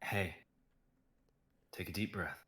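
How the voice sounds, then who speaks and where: Guy reading a calm, patient assistant, gentle and soothing, lowish voice. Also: slow, echoey room. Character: patient male assistant to a star, steady. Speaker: actor in a live script reading. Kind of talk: amateur dramatic reading.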